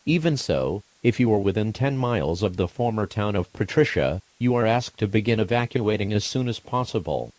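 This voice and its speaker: A low-voiced, official emergency broadcast announcer, played by a man. He reads scripted warnings in a measured, formal, impersonal manner. The sound is dry and close. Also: animated, a little mellow, no room sound, lightly acted. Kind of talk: scripted emergency alert narration.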